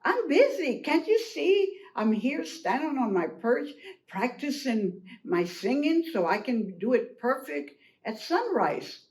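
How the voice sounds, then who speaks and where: A woman voicing a self-important, excitable male rooster in a children's story, brusque and agitated. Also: takes it slowly, heavily acted. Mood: busy, irritated. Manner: brusque, then shrill and agitated.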